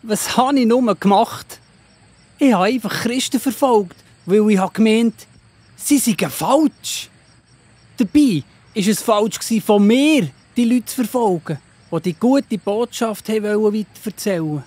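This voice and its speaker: A woman's voice: mid-range voice, expressive, a little slow, warm tone, breathy edge. A woman voicing Saulus, a stern young man, in a children's audio drama, speaking theatrically with a Swiss German accent. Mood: dismayed. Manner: exclaiming, theatrical.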